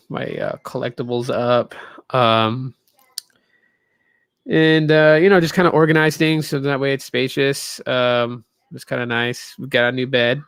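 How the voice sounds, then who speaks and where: A man in his late thirties with a gravelly, fried edge, chatting loosely and tiredly to his live stream viewers from a small room in his new home. The recording is dry, with almost no room sound. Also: medium pitch, a bit bright, no room sound. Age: 30s. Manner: rambling, loose, lots of fillers. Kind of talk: casual live stream chat.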